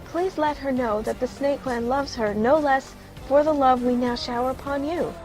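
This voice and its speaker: A woman's voice: sits high, fairly even intonation, moderate pace, close to an everyday voice, low-quality recording. A woman playing a devout, deferential clan queen in a fantasy audio drama, speaking humbly and reverently. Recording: loud music under the voice.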